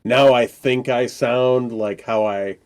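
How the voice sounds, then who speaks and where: A man's voice: mid-range voice, fairly even intonation, takes it slowly, a little mellow, clear, resonant tone. Male podcast co-host with a head cold, congested and nasal, hesitant and rambling. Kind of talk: casual chat.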